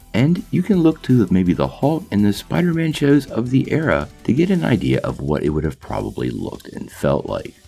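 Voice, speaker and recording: Low gravelly man, lively delivery. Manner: enthusiastic storyteller with playful asides. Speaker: host of a comic nostalgia channel. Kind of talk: scripted video narration.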